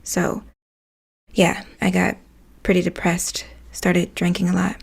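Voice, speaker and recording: A woman's voice: lowish voice, completely flat delivery, slow, gravelly, husky, heavily acted. An actress playing an earnest, slightly preachy young paralegal who tells her story in a wry, colloquial way in an audio play. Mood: exasperated. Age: young adult. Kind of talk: naturalistic monologue in an audio play.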